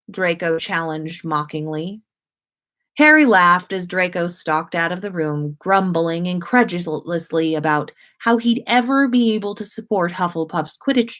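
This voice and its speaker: A woman's voice: fairly low pitch, a bit bright. A woman host narrating a story expressively, as if reading aloud to listeners on a livestream.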